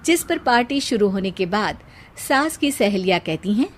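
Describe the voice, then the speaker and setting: A woman's voice: mid-range voice, expressive, normal speed. A woman giving scripted narration for a family cartoon story.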